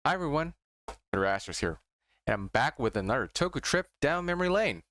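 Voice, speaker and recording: A man's voice: mid-range voice, big pitch swings, fairly quick, a bit bright. A young man from Indonesia, Indonesian as his first language, chatting casually and nostalgically as a reaction video host, rambling with fillers.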